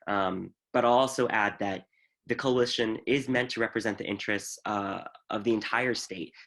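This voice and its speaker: Man, 26, youth organizer panelist; lowish voice, casual, filler-heavy. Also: fairly even intonation, normal speed, creaky, a bit bright. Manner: rambling, filler-heavy explaining.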